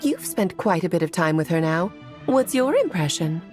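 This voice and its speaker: A woman's voice: lowish voice, very animated, normal speed, darkish tone, faint music behind. An actress playing a playful, theatrical grown witch in a video game, eloquent, airy and teasing. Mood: measured, explanatory. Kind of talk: video game cutscene acting.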